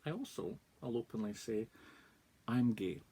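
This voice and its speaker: A man's voice: medium pitch, expressive, measured pace, warm tone, breathy edge. A Scottish man in his forties, a life coach, speaking candidly and reflectively in a personal vlog. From northeast Scotland. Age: 40s.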